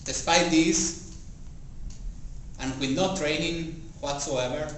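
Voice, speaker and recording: A man's voice rings through a very echoey room, picked up from a distance over faint noise. He is a non-native English speaker giving a personal motivational speech. His delivery is halting, with deliberate pauses between phrases. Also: on the high side. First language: not English. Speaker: club member giving a practice speech. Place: indoor meeting room before a small audience. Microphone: distant camera or room microphone.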